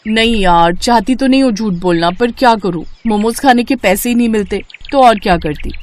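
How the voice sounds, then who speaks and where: A woman's voice: on the high side, fairly quick, a little mellow, close to an everyday voice. A woman voicing a young daughter-in-law in a moral story cartoon, deferential and eager on the surface, a little sly. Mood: flustered. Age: young adult.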